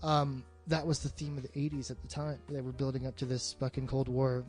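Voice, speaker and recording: A man's voice: medium pitch, flat delivery, a little fast, a little mellow, faint music behind. A man in a casual film discussion as a co-host, blunt and swearing often.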